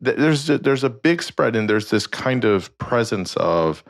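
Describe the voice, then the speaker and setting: A man hosting a podcast interview, asking halting questions with many restarts and backchannels. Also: medium pitch, lively intonation, vocal fry. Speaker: podcast host.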